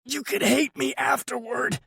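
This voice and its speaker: A man's voice: very high-pitched, fairly even intonation, measured pace, vocal fry, pushed and bright, husky, dry recording, clean studio sound, pitched above the performer's own voice, exaggerated voice. A man voicing a remorseful younger-sounding brother who pleads and begs in a shaky, breathless way. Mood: desperate. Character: remorseful man begging his angry brother. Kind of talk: audiobook character voice.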